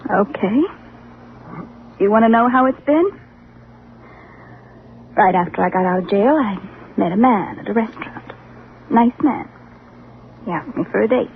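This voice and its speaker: A woman's voice: mid-range voice, expressive, unhurried, long pauses. Wounded guarded young woman, bitter and plainspoken, old radio drama, thin sound w faint noise. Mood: wounded, wistful. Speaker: actor in dramatic role. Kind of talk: vintage radio drama acting. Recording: tinny, noisy vintage radio recording.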